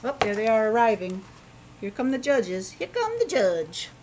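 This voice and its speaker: A woman reading a children's story aloud in an expressive way, pausing to explain things simply as a teacher would. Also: a little high, background noise. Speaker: schoolteacher reading a picture book aloud to children.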